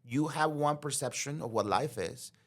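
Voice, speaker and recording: A man hosts a podcast in a relaxed, conversational way. He is chatty and keeps affirming what his guest says. He often breaks off to share his own stories. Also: mid-range voice. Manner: chatty, affirming, quick to agree. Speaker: podcast host. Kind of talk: casual interview talk.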